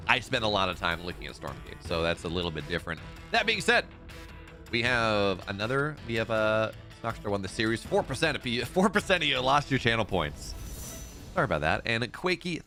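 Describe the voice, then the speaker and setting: A man casting a live strategy game match, excitable in the fights and relaxed and rambling when he chats with viewers. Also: on the low side, fairly quick, faint music behind. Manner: excitable play-by-play, rising in big fights, relaxed and rambling between games. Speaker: esports caster. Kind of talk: live game commentary and chat reading.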